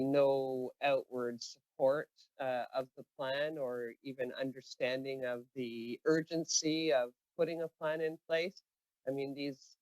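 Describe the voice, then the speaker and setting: A man giving earnest, measured testimony as a union representative. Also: mid-range voice, no room sound, low-quality recording. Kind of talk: formal spoken testimony.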